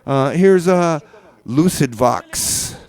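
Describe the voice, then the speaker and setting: A man hosting a community radio music show, chatting casually in a halting, rambling way with deadpan comedy. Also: on the high side. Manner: hesitant, rambling, halting with repeated words. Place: small community radio studio.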